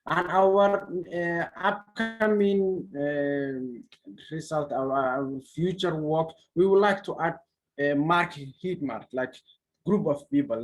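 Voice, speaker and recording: A man from Somalia presents his research to an online session. His voice sits a little high, and his nonnative English moves haltingly, full of restarts and searching pauses. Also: vocal fry, rough recording. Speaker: conference presenter. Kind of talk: academic presentation.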